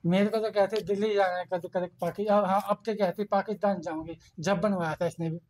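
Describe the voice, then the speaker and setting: A man's voice: high-pitched, steady tone, a little fast, darkish tone, low-quality recording. An older man giving an unscripted news interview, talking colloquially and repetitively as he protests. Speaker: father interviewed by reporters.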